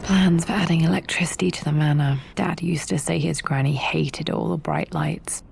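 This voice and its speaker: An actress playing a curious young British adventurer, musing quietly to herself in a video game. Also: female voice, low voice, steady tone, moderate pace, vocal fry, a little hiss or hum. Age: young adult. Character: curious, determined young adventurer daughter exploring her manor. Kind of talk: in-game character monologue.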